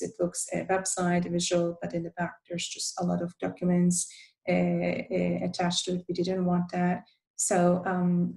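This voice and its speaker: A woman presenting a design guide, a native Turkish speaker who talks in a halting way with many fillers and restarts. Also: lowish voice, vocal fry, darkish tone, poor audio. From Turkey. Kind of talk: conference presentation.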